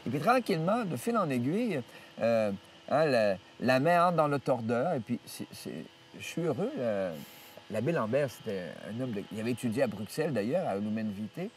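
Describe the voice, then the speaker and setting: A man, a priest telling stories from his life in an interview, rambling with many hesitations and restarts and lively retelling of what others said. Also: mid-range voice, normal speed, recorded from a distance, a little hiss or hum. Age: 70s. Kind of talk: storytelling interview. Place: outdoors in nature.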